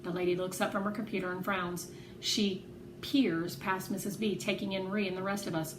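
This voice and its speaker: A woman reading a children's novel aloud as an expressive, animated narrator. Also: medium pitch, moderate pace. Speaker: read-aloud host. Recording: echoey room with clear background noise.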